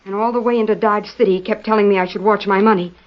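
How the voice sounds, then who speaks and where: An earnest, anxious young woman of the Old West, played by an actress. Her voice is medium in pitch and acted in old-time radio style. The recording is muffled and lo-fi. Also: fairly even intonation, very fast, lightly acted. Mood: distressed. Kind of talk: old-time western radio drama acting.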